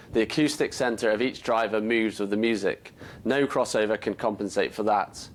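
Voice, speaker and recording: A man with a fairly low-pitched voice presents a scripted explainer as a company presenter. His delivery is measured and explanatory, laying out each point in turn.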